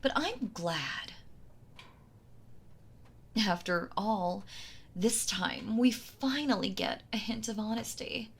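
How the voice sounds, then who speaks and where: A woman's voice: mid-range voice, full character voice. A woman playing a possessive, sweetly cruel yandere wife in an intimate roleplay audio drama, gloating and mock-tender as she toys with her captive. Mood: mockingly cheerful. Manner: slow taunting delivery, breathy soft laughs, mock-tender.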